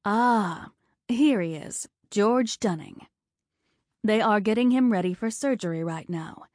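A woman voicing a warm, motherly hospital receptionist with a calming, soothing manner. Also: medium pitch, a little slow, no echo, clean studio sound, natural voice.

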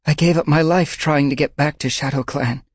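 A man's voice: fairly high pitch, steady tone, normal speed, breathy edge, no echo, voice pushed up. A man voicing a cream-furred she-cat full of grief, her voice set well above his own and trembling as she mourns. The reading is dry and close. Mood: grieving. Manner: shaky, lamenting.

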